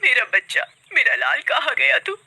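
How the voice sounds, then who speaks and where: A woman's voice: high-pitched, huge pitch swings, moderate pace, gravelly, sharp tone, husky, pitched above the performer's own voice, full character voice. A woman voicing a distraught village mother, wailing and pleading, in a cartoon story.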